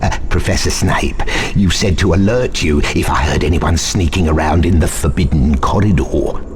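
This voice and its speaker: A man's voice: rumbling low, normal speed, noisy background. An actor playing a sneering, menacing old school caretaker in a video game, gloating and drawing out his taunts. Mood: servile, conspiratorial. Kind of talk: video game character acting.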